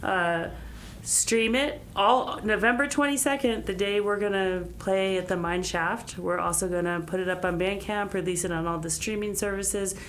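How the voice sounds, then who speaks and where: A woman's voice: mid-range voice, fairly even intonation, normal speed, fairly bright tone, slight background noise. A woman musician chatting casually as an interview guest, with a wry, self-deprecating manner. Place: radio studio.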